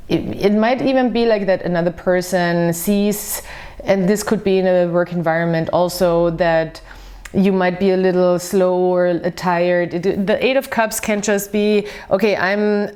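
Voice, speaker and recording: A woman whose first language is not English, reading tarot cards to camera in a soft, musing way. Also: on the low side, clear, resonant tone. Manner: soft, musing, reads slowly from a book. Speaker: tarot reader.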